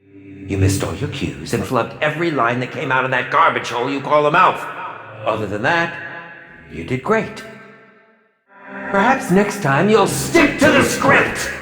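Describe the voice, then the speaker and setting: High-pitched, pompous, theatrical film director villain. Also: male voice. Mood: scornful, sarcastic. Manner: theatrical, condescending, sarcastic, drawn-out delivery. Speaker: film director and announcer character in a video game. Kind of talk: video game villain announcer voice acting. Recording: echoey hall reverb over music.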